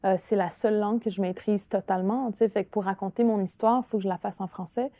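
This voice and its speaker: Hesitant Québécoise woman, mellow voice. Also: mid-range voice, fairly even intonation, fast talker, no room sound. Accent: Quebec French. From Quebec. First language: Innu. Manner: thoughtful, hesitant, many restarts and "tu sais". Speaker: author and artist guest. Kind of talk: reflective conversational interview.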